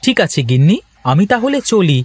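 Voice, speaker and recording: A man's voice: sits high, sing-song, brisk, darkish tone, husky, no echo, heavily acted. A man voicing an earnest, kind-hearted poor village husband in a cartoon, speaking expressively and cajolingly. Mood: cheerful. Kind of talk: Bengali moral-story cartoon dubbing.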